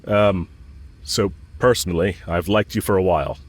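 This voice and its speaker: A man's voice: low voice, steady tone, moderate pace. A man playing a cocky, smitten villain who talks casually, frankly and teasingly to the listener in a romantic audio roleplay. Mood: fond, flirtatious. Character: smitten male villain, cocky, teasing, bluntly confessing love.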